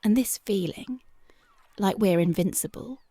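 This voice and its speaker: Woman doing a young punk girlfriend, ASMR roleplay, soft close whispers, unhurried, dry and near. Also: medium pitch, no room sound. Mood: tender, dreamy. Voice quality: soft, intimate, partly whispered. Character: tough, devoted young punk girlfriend, tender at night. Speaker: voice actress.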